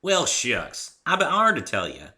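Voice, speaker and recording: A man's voice: on the high side, wildly swooping pitch, normal speed, pushed and bright, pitched above the performer's own voice, heavily acted. A man voicing a hearty, stubborn young farm mare, a folksy cartoon pony with a Southern country accent, in a story reading.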